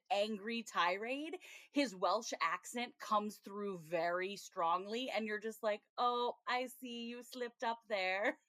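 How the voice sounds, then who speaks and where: A woman's voice: a little high, fairly bright tone, no echo. A woman co-hosting a podcast, chatting casually about TV episodes, laughing often and acting out her own reactions. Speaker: podcast co-host. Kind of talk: casual TV review chat.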